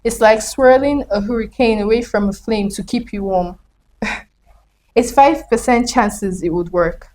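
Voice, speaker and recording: A young woman reading her own reflective prose in a slow spoken-word style, then asking questions as host. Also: fairly high pitch, darkish tone, a little hiss or hum, rough recording. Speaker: host and writer.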